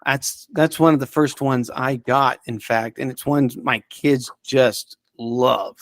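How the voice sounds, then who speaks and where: Man chatting haltingly in a dry-sounding space. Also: mid-range voice, fairly even intonation, no room sound. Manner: halting and rambling, searching for words. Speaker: podcast guest reviewing audio dramas. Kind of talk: casual unscripted chat, recommendations.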